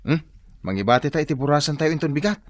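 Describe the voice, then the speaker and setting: An actor with an Ilocano accent playing a gullible, jittery village farmer husband, hesitant and full of exclamations, in a radio comedy drama. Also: male voice, a little high, lively intonation, quick pace, no echo, close to an everyday voice. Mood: easygoing.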